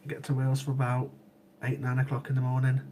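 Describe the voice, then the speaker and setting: A man's voice: mid-range voice, completely flat delivery, fast talker, mellow, slight background noise. Sniffly, chatty man. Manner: chatty, repeats himself, laughs easily. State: sniffly with a cold. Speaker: livestream host. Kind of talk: casual chat with live chat viewers.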